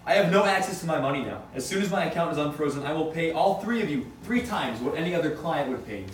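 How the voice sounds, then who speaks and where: A man playing a wry, rattled young rich businessman in a table read, with a halting, matter-of-fact delivery. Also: on the high side, lively intonation, close to an everyday voice. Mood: exasperated. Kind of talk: amateur script table read. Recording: echoey room, distant mic, faint noise.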